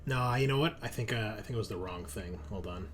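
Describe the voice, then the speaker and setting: A man's voice: fairly low pitch, fast talker, a little hiss or hum. A man hosting a tabletop game as game master, chatting casually and narrating in informal language.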